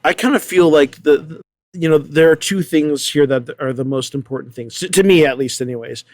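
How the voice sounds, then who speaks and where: A man's voice: a little high, no echo. A man speaking as a panelist in a discussion, thinking aloud with repeated words and checking in with "right?". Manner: halting, repeats words, seeks agreement with "right?".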